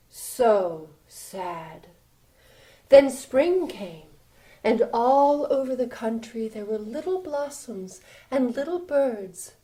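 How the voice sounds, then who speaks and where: A woman narrating a children's story in an expressive, theatrical style, inviting listeners along. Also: medium pitch, rough recording.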